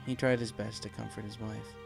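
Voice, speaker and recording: A man's voice: fairly low pitch, level tone, brisk, gravelly. A man narrating a dramatic story from a script as an audiobook reader. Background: faint music.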